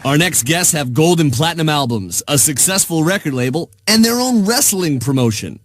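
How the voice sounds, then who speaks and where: An actor playing a glib, mock-earnest daytime talk show host in a video game, presenting theatrically. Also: male voice, a little high, lively intonation, normal speed. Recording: music playing behind. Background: music.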